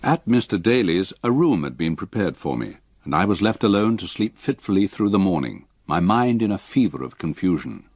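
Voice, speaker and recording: An actor playing a haunted English gentleman who narrates his ordeal in a grave, measured, literary style for radio drama. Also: deep voice, big pitch swings, husky, no echo, studio quality, heavily acted. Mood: bleak, weary.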